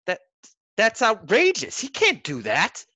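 A man's voice: sits high, sing-song, fairly quick, a bit bright, no echo, pitched above the performer's own voice, full character voice. A man voicing an anxious, doting middle-aged mother who fusses and pleads. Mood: indignant. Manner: fussy, pleading, calls him darling. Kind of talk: audiobook character voice.

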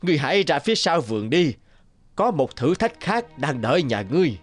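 A man's voice: a little high, very animated, fairly quick, no room sound. An actor playing a stately old king in a fairy-tale cartoon, with a pompous, commanding delivery. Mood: stern. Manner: pompous, authoritative. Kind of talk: animated fairy-tale dubbing. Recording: music bed behind the voice.

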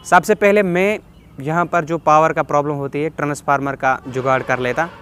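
A young man with a fairly high voice and a Kashmiri accent hosts a casual question-and-answer vlog. He reads each question aloud and replies in a chatty way, dropping in bits of English. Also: steady tone, normal speed, a bit bright, smooth. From Kashmir, India. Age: 20s. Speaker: vlogger answering viewer questions. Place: outdoors on a rooftop.

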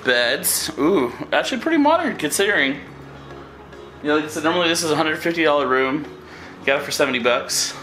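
A man's voice: fairly high pitch, sing-song, brisk, bright, forceful tone, faint music behind, low-quality recording. A man in his thirties from southern California, talking casually as a travel vlogger, rambling with lots of fillers, filmed at arm's length. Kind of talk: casual vlog narration. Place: outdoors, hotel room and parked van. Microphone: handheld camera at arm's length.

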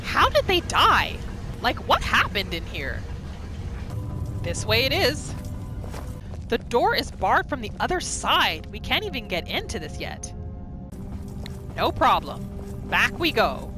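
A woman giving excitable playthrough commentary, exclaiming and talking to the game's characters as she roleplays. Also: a little high, takes it slowly, long pauses. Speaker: gaming video host. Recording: game music behind the voice. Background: video game music and sounds.

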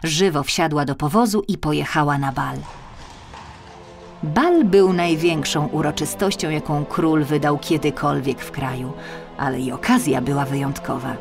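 A woman reads a children's fairy tale expressively. Her voice sits a little low, and music plays behind the narration. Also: normal speed, creaky. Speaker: audiobook narrator.

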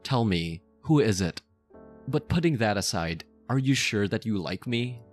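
Possessive mafia boss lover, played by a man: deepish voice, intimate and coaxing, obsessively devoted, ASMR audio roleplay over light music. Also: animated. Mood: pleading, lovestruck. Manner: slow, soft, coaxing, close and intimate.

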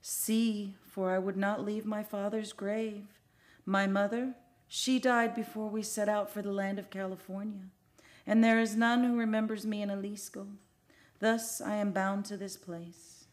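Casting: actress as lovelorn female ghost, captain's daughter from old Spain. Mid-pitched, solemn, declamatory radio-play style. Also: fairly even intonation, measured pace, close to an everyday voice. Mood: wistful. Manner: solemn, archaic, declamatory phrasing. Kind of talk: old-time radio drama acting.

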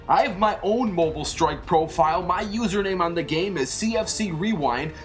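An energetic YouTube-style host reads scripted narration. The voice sits at a medium pitch, with music playing underneath. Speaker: host and narrator of an animated fight series.

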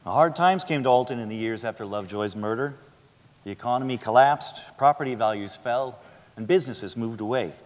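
A man's voice: medium pitch, fairly quick, long reverb tail. A man giving scripted dramatic narration from a theatre stage.

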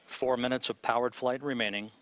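A man giving live launch commentary from mission control, in a measured, calm announcer delivery. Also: mid-range voice, no echo, muffled recording.

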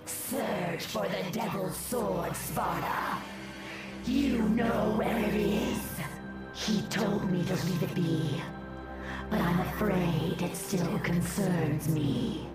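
A woman's voice: mid-range voice, slow, echoey room, recorded from a distance, loud music behind the voice, natural voice. A woman voicing an imperious, menacing demon in a video game, commanding and grandiose. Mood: stern. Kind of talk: video game cutscene acting.

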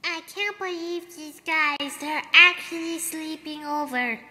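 A woman voicing a bold, hyperactive young martial-arts girl in a cartoon parody, with a cutesy, loud manner. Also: sits high, unhurried, in a large hall, heavily acted. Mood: grumbling. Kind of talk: anime parody fan dub.

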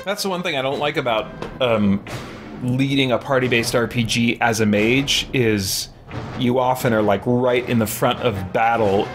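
Man, thirties, mid-pitched, slow casual gaming commentary, music behind. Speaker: host of a gameplay stream. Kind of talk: casual let's-play commentary. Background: game music.

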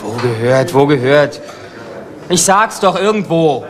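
An actor playing a young homeless petty crook, defensive and evasive, halting and repeating himself in a crime radio play. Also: male voice, a little high, animated, a crowd in the background. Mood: cornered, evasive. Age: young adult. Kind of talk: German radio crime drama acting. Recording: noisy retro radio drama recording.

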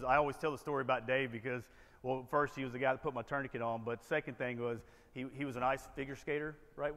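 A man's voice: mid-range voice, fairly bright tone. An American man giving a motivational keynote as a storyteller, conversational and often checking in with a tagged-on "right?". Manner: conversational, punctuated with frequent "right?" tags. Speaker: keynote speaker, military veteran. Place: conference ballroom, on stage before seated audience. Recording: echoey hall with long reverb.

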